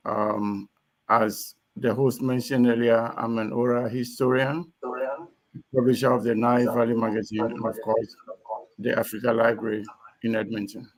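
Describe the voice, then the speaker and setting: A man's voice: medium pitch, level tone, moderate pace, low-quality recording. A man from Ghana, an oral historian, introducing himself briefly and matter-of-factly on an online panel call.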